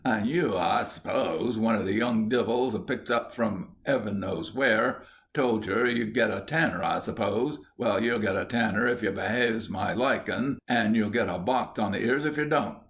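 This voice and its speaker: Man as storybook stall woman, Cockney, sharp-tongued, aggrieved, scolding. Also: fairly low pitch, normal speed, slight background noise, lightly acted. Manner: grumbling, rhetorical, sharp-tongued. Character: scolding, aggrieved large untidy Cockney mother running coffee stall.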